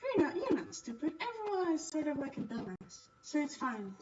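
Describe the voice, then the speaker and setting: A woman's voice: high voice, sing-song, brisk, a little mellow, far from the mic, highs cut off. A woman livestreaming a game, chatting casually and playfully with her viewers. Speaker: livestreamer playing a visual novel. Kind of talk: casual chat while gaming.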